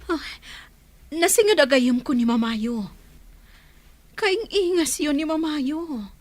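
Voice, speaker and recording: An actress playing a concerned, brisk and kind businesswoman in an Ilocano radio drama. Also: sits high, expressive, dry recording. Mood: concerned, inquisitive. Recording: music under the voice.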